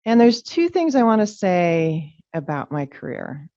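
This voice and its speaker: A middle-aged woman, a Danish native speaker, giving a reflective, halting farewell speech as chair of a public meeting. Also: on the low side, big pitch swings, mellow. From California. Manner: reflective, halting, with frequent ums. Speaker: commission chair. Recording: dull lo-fi meeting audio.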